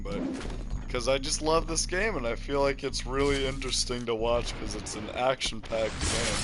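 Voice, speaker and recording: A teenage boy hosting a gaming channel, rambling and joking over his gameplay with loud sudden reactions and self-aware asides. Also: medium pitch. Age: teens. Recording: clear background noise.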